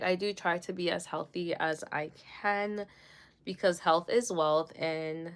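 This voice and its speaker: A woman's voice: lowish voice, fairly bright tone. A young woman from Jamaica with no accent, chatting casually and rambling with fillers while doing her makeup on a livestream. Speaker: livestream host.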